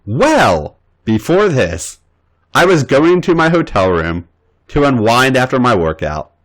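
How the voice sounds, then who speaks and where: An eager, affectionate young man, as the male narrator reads him. He talks earnestly, and his voice swoops high and low. He sounds open and keen. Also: big pitch swings, measured pace.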